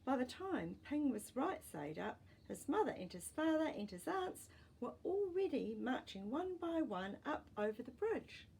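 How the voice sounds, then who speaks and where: A woman reading a children's storybook aloud as a storytime host, with deliberate, expressive phrasing for young listeners and small asides. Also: sits high, husky, rough recording.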